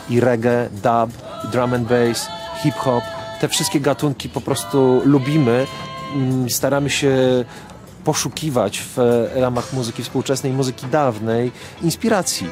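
Thoughtful man over folk music. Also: mid-range voice. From Poland. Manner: thoughtful, listing ideas in long flowing clauses. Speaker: percussionist, interviewee. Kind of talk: interview.

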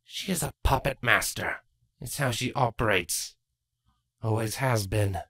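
A woman voicing a regal, protective changeling queen mother in a measured, formal and grave manner for a fantasy audiobook. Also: rumbling low, fairly bright tone, full character voice. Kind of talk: acted fan fiction audiobook dialogue.

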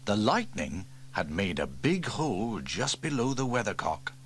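Lively storybook reader with tape hiss. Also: male voice, a little slow. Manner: lively, switches into higher voices for characters. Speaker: audiobook reader. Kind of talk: storybook narration with character voices. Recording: faint tape hiss from old cassette.

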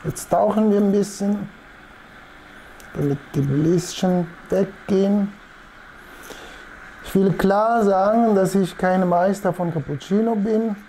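A man with an Italian accent talks casually through a hands-on demonstration in a mellow, creaky voice. His delivery is halting and self-deprecating, as a non-native speaker. There is clear noise in the room behind him. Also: high-pitched, low-quality recording. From Italy. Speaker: presenter demonstrating espresso machines. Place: small indoor coffee showroom. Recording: noticeable background room noise.

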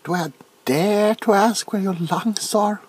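A middle-aged man playing a nervous, bewildered, awkwardly polite doctor in a soft-spoken comedic ASMR roleplay, stammering and repeating himself. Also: sits high, slow pace, breathy edge, pitched above the performer's own voice, heavily acted. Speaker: ASMR performer.

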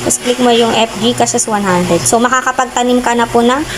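A high-voiced young woman from the Philippines talks her viewers through her phone screen in a loose, chatty way. She keeps calling them "guys" and stops often to search for words. Steady background noise sits under her voice on a phone mic. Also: a little fast. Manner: chatty, filler-heavy, addresses viewers as guys. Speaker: vlogger. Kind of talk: casual screen-recording walkthrough.

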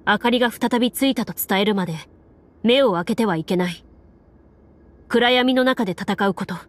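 An actress playing a composed woman duelist who narrates a game adventure in a measured, formal, dramatic way. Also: mid-range voice, natural voice. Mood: solemn, measured. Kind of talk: Japanese anime game narration. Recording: game music behind.

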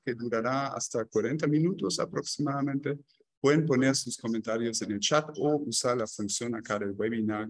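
A man's voice: mid-range voice, no echo. A man in his thirties moderating a webinar on a video call, politely introducing the speaker. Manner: polite, reads speaker bio. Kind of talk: formal introduction.

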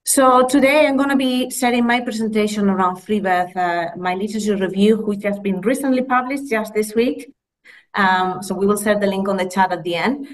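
A woman in her thirties with a Spanish accent presents research in a measured, earnest way, pausing on fillers and correcting herself as she goes. She speaks into a laptop mic on a video call from a small room. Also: mid-range voice. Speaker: midwifery lecturer presenting research. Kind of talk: conference presentation.

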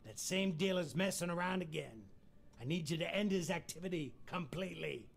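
A man's voice: on the high side, fairly quick, gravelly, faint music behind. A man playing an irritable, commanding crime boss in a noir video game. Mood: businesslike.

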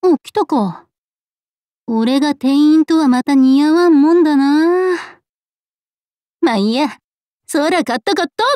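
A woman voicing a laid-back, blunt tomboyish gunner in a game, with rough, boyish, offhand speech. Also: high voice, a little slow, long pauses, mellow, no echo, clean studio sound. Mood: offhand, breezy. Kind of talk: Japanese game character voice acting.

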